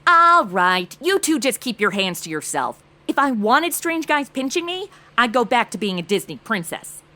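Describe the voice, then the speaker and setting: Actress as flighty young American cheerleader type, high-pitched, very animated, melodramatic, slangy, audio comedy. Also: female voice, moderate pace. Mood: chatty, defensive. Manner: dramatic modern slangy delivery. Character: flighty, self-absorbed young ex-cheerleader makeup blogger, melodramatic. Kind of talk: comedy audio drama acting.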